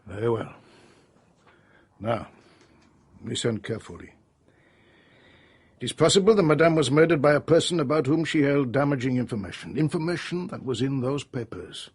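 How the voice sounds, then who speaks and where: A probing detective, slightly husky. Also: male voice, mid-range voice, animated. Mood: insinuating. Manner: polite, measured, insinuating questioning. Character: courteous but probing French police detective. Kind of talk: radio drama acting.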